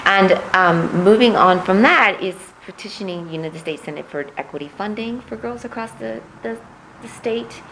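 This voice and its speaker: A middle-aged woman from Puerto Rico, raised in Missouri, answering interview questions as a political candidate, measured and reflective with frequent pauses. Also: mid-range voice, a bit bright, a little hiss or hum, low-quality recording.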